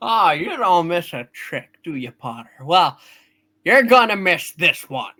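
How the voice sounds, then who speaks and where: An earnest, flustered young boss acted by a man in a hammed-up radio play. He stammers and presses his points urgently. His voice is sharp and bright, leaping up and down with emphasis. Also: a little high, sing-song, a little slow, heavily acted. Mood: defiant. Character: earnest, flustered young male building-and-loan boss pleading with crowd.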